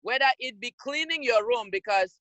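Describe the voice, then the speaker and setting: Bright, emphatic woman. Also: a little high, expressive, moderate pace, no room sound. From Cameroon. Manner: emphatic, scolding, direct to listeners. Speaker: youth program speaker. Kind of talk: motivational talk to young people. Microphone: video call.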